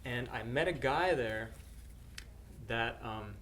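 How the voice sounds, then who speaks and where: A man's voice: medium pitch, takes it slowly, fairly bright tone. Hesitant Norwegian-born missionary man. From Norway. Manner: hesitant, many uhs and restarts. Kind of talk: personal testimony to camera. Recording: faint background noise.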